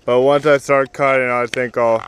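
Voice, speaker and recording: A young man explaining his tree cutting as he goes, in a halting casual way with many fillers and restarts, recorded outdoors in a wooded yard on an action camera. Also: mid-range voice, little pitch movement, slight background noise. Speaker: vlogger. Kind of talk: casual explanation while working. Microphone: action camera on the ground.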